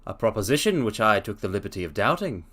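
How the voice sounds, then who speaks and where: A man's voice: fairly low pitch, big pitch swings, quick pace, fairly bright tone, pitched below the performer's own voice. A man voicing a confident male Victorian detective who speaks in a precise, lecturing way. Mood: assured.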